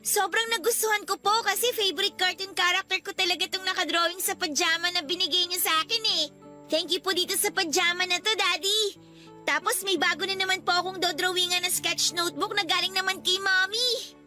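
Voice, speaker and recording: A woman's voice: extremely high pitch, fairly even intonation, a little fast, pushed and bright, faint music behind, heavily acted. A woman playing a sweet, eager and polite young daughter in a radio drama. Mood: happy, grateful. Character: sweet, grateful young daughter, excited on her birthday. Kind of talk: Tagalog radio drama acting.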